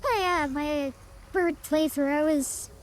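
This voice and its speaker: Very high-voiced woman gamer, exclaiming. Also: slow, slight background noise. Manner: sweary, exclaiming. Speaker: gaming streamer. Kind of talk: casual gameplay reactions. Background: game audio.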